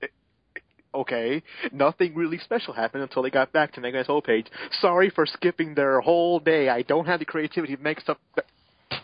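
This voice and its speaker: A man's voice: a little high, fairly quick, no room sound, dull audio. A man doing a mocking dramatic reading as narrator, sarcastic, often stumbling and breaking off to comment. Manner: swears. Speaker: narrator and co-reader.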